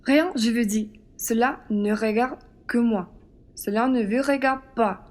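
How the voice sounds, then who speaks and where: Woman acting a defiant, high-voiced young Frenchwoman. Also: a little slow, darkish tone, lightly acted. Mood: furious. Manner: blunt, indignant. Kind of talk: amateur French radio play acting.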